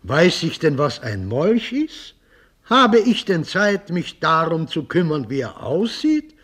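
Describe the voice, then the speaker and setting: Radio drama: brisk business boss, lightish pitch, very lively intonation, full of his own importance. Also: slightly rough, full character voice. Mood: offhand, confiding. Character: brisk, self-important company chairman, pragmatic businessman. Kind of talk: German radio drama acting.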